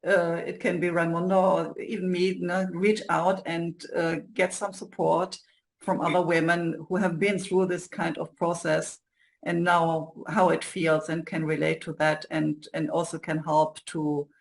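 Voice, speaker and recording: Female interview host, earnest and supportive, halting phrasing, creaky voice with a flat, even melody. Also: on the low side. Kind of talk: interview talk, encouraging listeners.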